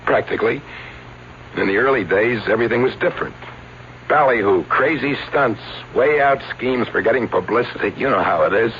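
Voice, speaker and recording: An actor playing a wry, regretful publicity agent confessing to a detective in an old-time radio drama. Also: male voice, medium pitch, normal speed, close to an everyday voice. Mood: wry, confessional. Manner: colloquial, dramatic. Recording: muffled vintage radio recording, faint hiss.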